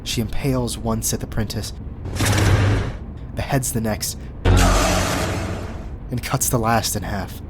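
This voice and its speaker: A man's voice: lowish voice, slow, pauses often, heavy background noise. A man narrating a scripted audio drama, reading scene directions in a dramatic manner.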